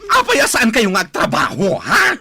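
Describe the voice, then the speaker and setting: A woman's voice: fairly high pitch, huge pitch swings, a little slow, vocal fry, pushed and bright, slight background noise, full character voice. A woman with a Filipino accent acting a nagging, indignant young woman in a radio drama, scolding and emphatic.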